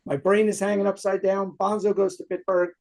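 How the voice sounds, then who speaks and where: A man's voice: high voice, little pitch movement, a little fast, vocal fry, a little mellow. A man with a New York accent, a punk singer guest, eagerly talking through a favorite song in a casual music chat. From Queens, New York. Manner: enthusiastic, detail-heavy storytelling.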